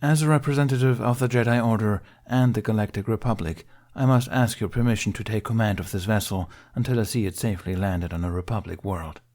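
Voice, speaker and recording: Man playing composed, low-voiced Jedi veteran. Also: darkish tone, lightly acted. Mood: formal, commanding. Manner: formal, measured, authoritative. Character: composed, courteous male Jedi veteran taking command.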